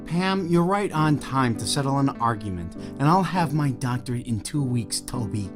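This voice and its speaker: A male actor's stubborn young man, a cocky grad student whose pitch jumps about. He banters in an audio drama over prominent guitar music. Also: animated, moderate pace, lightly acted. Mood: argumentative, teasing.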